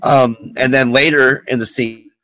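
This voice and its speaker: A man leading a casual fan podcast talk, full of fillers and restarts, his voice worn and going hoarse. He sits close to a dry microphone with no room sound, at an ordinary middle pitch. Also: fairly even intonation, measured pace, sharp tone, no room sound, poor audio. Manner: rambling, many fillers and restarts. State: losing his voice. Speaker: podcast host.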